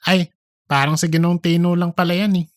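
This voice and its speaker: A man's voice: high voice, flat delivery, normal speed, no room sound, clean studio sound, lightly acted. A man voicing an incredulous, easily amused office buddy with blunt slangy reactions in a comic audiobook. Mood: amused griping. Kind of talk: scripted comic audiobook dialogue.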